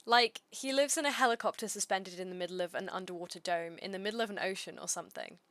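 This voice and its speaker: Female streamer, bright tone, understated deadpan, casual delivery, dry close recording. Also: mid-range voice, quick pace, no room sound. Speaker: streamer reading a script aloud.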